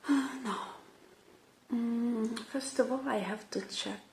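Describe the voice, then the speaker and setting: A woman doing an ASMR roleplay as a repair technician, whispering softly with gentle pauses. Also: fairly high pitch, a little hiss or hum.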